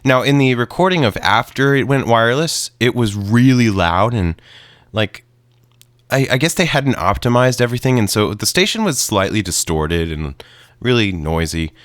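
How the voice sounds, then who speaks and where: A man giving casual, unscripted commentary as a video creator, haltingly searching for his words. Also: medium pitch, expressive, dry recording, clean studio sound. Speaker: video creator introducing radio recordings.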